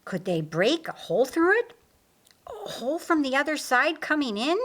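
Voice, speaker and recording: Lady playing a curious questioning guy in an audiobook, wondering out loud. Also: high-pitched, sing-song, normal speed, pitched above the performer's own voice. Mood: curious, worried. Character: Mordecai, curious questioning man. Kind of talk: audiobook character acting.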